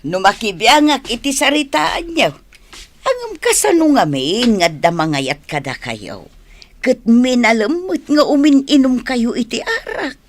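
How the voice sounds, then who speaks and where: A woman's voice: a little high, very animated, measured pace. An actress with an Ilocano accent playing a meddling but well-meaning older woman who scolds young drinkers in a radio drama. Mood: chiding.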